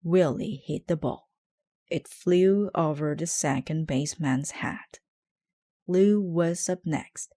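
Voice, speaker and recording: Slow, low-pitched woman reading a storybook. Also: warm tone, no echo. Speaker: storybook reader. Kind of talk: read-aloud children's story narration.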